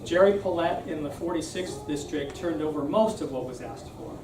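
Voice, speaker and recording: Man, explanatory public speaker, conversational, slightly high voice, distant mic, background noise. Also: moderate pace, roomy sound. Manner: explanatory, conversational, often seeks agreement with "right?". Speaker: speaker giving a civic talk. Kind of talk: informal public talk with audience questions. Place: room at a live meeting.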